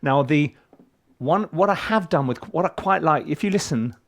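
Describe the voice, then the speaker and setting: A man's voice: a little high, lively intonation, moderate pace, background noise. A middle-aged man, a composer walking through his music session in a casual, rambling way with many ums and restarts. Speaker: composer teaching film scoring. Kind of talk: casual screen-share walkthrough. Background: music from his session playing faintly.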